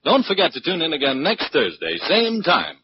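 A man's voice: a little high, huge pitch swings, moderate pace, a little hiss or hum. A man working as a radio announcer in an emphatic 1940s advertising style. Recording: dull 1940s radio recording. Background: music.

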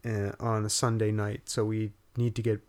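A man's voice: on the low side, completely flat delivery, moderate pace. A man with a nasal voice co-hosting a casual podcast, joking dryly at his own expense. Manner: dry, self-mocking humor. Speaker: podcast co-host. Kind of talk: casual chat. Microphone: podcast studio mic.

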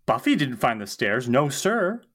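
A woman's voice: very deep voice, very animated, measured pace, exaggerated voice. A woman playing an eager, defiant young witch in a podcast script read, with an emphatic, dramatic delivery. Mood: sulky, determined.

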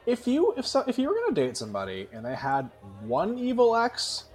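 A man's voice: very high-pitched, huge pitch swings, a little fast, a little mellow, slightly rough. A man bantering casually as a podcast co-host, blunt and joking in his way of talking.

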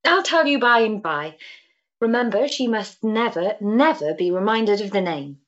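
A woman playing a forthright, compassionate gentlewoman in a period drama, speaking in a direct, firm manner. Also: mid-range voice, expressive, moderate pace, lo-fi sound, lightly acted. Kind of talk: period audio drama acting.

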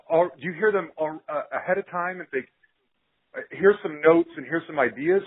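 A man's voice: fairly high pitch, breathy edge. A man interviewing casually, with informal, rambling questions that he rephrases as he goes. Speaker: podcast interviewer. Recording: tinny band-limited audio.

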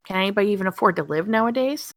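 A woman's voice: mid-range voice, expressive, racing, very noisy. A woman co-hosting a podcast, joking cheekily and crudely in casual chat. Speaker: podcast co-host.

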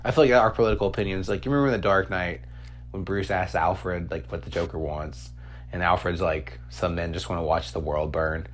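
A man's voice: deep voice, fairly quick. A man co-hosting a comedy podcast, telling stories in casual, profane banter and animatedly acting out quotes. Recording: noisy with a steady hum.

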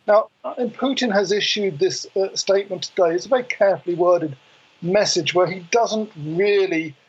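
Over a muffled phone line, a man gives live analysis as a correspondent. His voice is high for a man and rather raspy. He speaks haltingly, feeling his way through the explanation. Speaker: foreign correspondent. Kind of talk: live unscripted news analysis.

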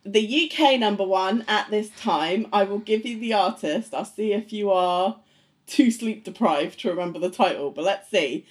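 A woman's voice: mid-range voice, moderate pace. A woman co-hosting a podcast in casual chat, giggling often as she reads out facts. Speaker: podcast co-host.

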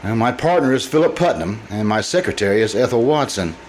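A man's voice: fairly low pitch, big pitch swings, brisk, slight background noise, poor audio. An actor playing an anxious, troubled businessman in an old-time radio drama, speaking hesitantly with stammers. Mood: uneasy, resentful. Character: troubled, anxious businessman confessing violent urges to his doctor.

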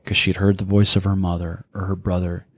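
An American man around forty, reflecting on his life as an interview guest in a measured, deliberate way. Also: low-pitched, level tone, no room sound, studio quality. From United States, raised in small-town Pennsylvania. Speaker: podcast guest, speaker. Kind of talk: reflective personal storytelling in an interview.

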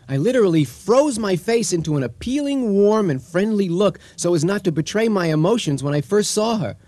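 Earnest, animated radio-drama earthling. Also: male voice, sits high, dry recording. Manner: earnest, courteous, hesitant stammering. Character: earnest, polite, eager male earthling making first contact. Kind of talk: comedic radio drama acting.

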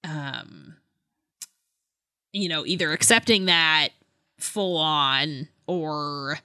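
A woman co-hosting a podcast in casual chat, animated and conversational, often hedging as she talks. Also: low-pitched, drawn-out words, smooth. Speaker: podcast co-host. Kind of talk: casual chat about books and films.

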